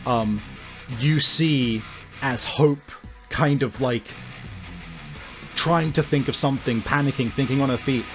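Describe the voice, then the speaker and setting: A man runs a horror tabletop game as game master. He improvises vivid narration with hesitant pauses in a medium-pitched voice, and faint guitar music plays behind him.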